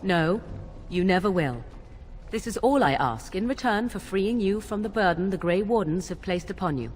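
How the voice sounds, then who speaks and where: An actress playing an aloof, secretive young witch in a fantasy game, speaking in formal, archaic, deliberate phrases. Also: mid-range voice, lively intonation, a little mellow, slight background noise, lightly acted. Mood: firm, defiant. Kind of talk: dramatic fantasy game dialogue.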